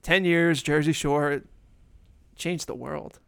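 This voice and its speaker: A man's voice: fairly high pitch, slow pace, gravelly, smooth. A man of twenty-five chatting casually, hesitant and restarting his sentences. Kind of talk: casual chat.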